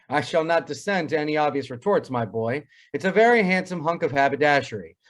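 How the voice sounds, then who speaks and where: Man acting a crusty family doctor, old-time radio comedy, pompous, wordy, sarcastic, fairly high male pitch. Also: lively intonation, a little fast, natural voice. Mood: wry.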